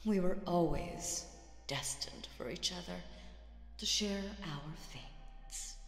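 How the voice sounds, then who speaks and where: Woman doing a demon queen for audio roleplay, lowish voice, taunting n possessive, breathy asides, big echoey hall with music. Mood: possessive, wistful. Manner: drawn-out, teasing, condescending, breathy whispered asides. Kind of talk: slow roleplay audio drama monologue with whispers.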